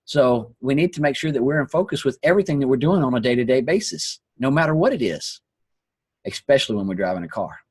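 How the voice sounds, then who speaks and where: Hoarse man giving a motivational talk. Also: medium pitch, expressive, fast talker, no echo, studio quality. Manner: earnest, preachy, repeats phrases. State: hoarse, losing his voice. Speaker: host. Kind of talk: motivational talk on a live online call.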